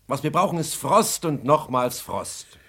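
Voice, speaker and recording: A man's voice: on the high side, sing-song, unhurried, a bit bright, slightly rough, full character voice. An actor playing a loyal, blunt ex-military security chief in a radio crime play, clipped and respectful in his speech. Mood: worried, urgent.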